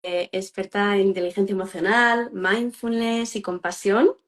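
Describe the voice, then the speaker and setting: A woman's voice: medium pitch, fairly even intonation, normal speed. A woman psychologist hosting a live-streamed interview, talking with many fillers and restarts.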